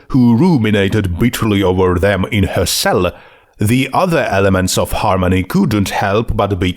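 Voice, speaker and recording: Man, storyteller, fairly deep, slow pace, measured scripted narration. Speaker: fan fiction audiobook narrator.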